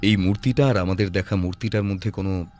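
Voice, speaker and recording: An actor playing a shrewd, composed male detective who reasons in a deliberate, analytical way in an audio drama. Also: low-pitched, a little mellow, faint music behind, natural voice. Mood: thoughtful, puzzled.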